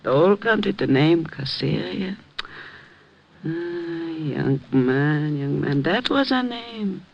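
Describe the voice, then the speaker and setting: Creaky, bossy old immigrant mother. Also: sits low, expressive, slow, muffled recording, heavily acted. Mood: wistful, proud. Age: older. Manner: commanding, fussing, broken English. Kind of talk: 1950s radio drama acting. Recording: vintage radio recording, faint noise.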